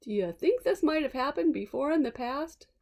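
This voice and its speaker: A woman's voice: sits high, expressive, normal speed, vocal fry, darkish tone. A woman teacher casually explaining things to young children, chatty and asking simple questions. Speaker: read-aloud teacher.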